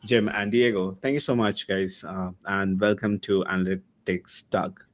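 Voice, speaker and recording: A man's voice: deep voice, steady tone, measured pace. A man hosting a user group, reading a formal introduction of the speakers over a video call.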